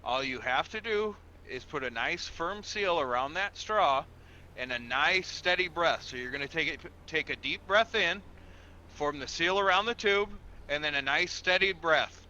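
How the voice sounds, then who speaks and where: A man playing a male police officer in a game roleplay, with a sharp, forceful and slightly high voice. He gives procedural instructions patiently and formally, repeating himself. The audio is a little dull, with faint noise behind it. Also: moderate pace. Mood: firm, exasperated. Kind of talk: improvised online game roleplay.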